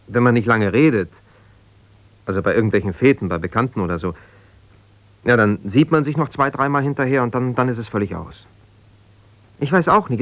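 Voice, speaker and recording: Hesitant, defensive, wounded husband. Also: male voice, fairly low pitch, fairly bright tone, dry recording. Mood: confiding, troubled. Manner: hesitant, searching, self-justifying, conversational. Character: defensive, wounded husband pouring out his troubles to a confidante. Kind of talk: naturalistic 1970s German radio drama monologue.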